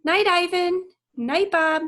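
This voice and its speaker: A woman's voice: very high-pitched, slow, fairly bright tone, pitched above the performer's own voice, heavily acted. A woman voicing a kind, cheerful young girl in a storybook, with a light, friendly manner. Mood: cheerful farewell.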